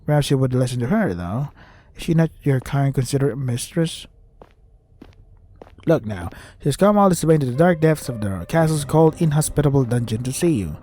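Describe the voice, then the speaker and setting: A man's voice: medium pitch, lively intonation, a little fast, dark tone, natural voice. A man voicing a scornful visual novel narrator who taunts a captive in a wordy, haughty, sarcastic way.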